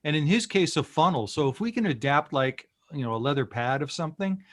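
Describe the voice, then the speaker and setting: A man's voice: a little high, lively intonation, brisk, a bit bright. A man talking casually on a group call with a wry, storytelling manner. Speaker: narrator and participant in a script table read. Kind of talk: casual group chat after a table read. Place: indoors on a group video call.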